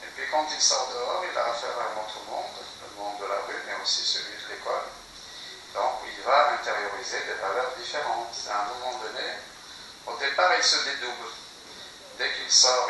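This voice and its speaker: A man's voice: mid-range voice, pushed and bright. A man, an ethnopsychiatry researcher and therapist, explains his work in an interview, mixing anecdotes with rhetorical questions. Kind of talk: interview answers. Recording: echoey room, distant mic, background noise.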